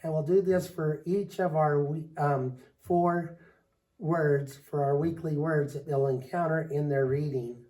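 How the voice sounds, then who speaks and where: A man with a raspy voice from a lingering cold, walking through a reading lesson as a teacher, steady and explanatory with frequent ums. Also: on the high side, measured pace, poor audio. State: hoarse from a cold. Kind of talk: recorded lesson walkthrough.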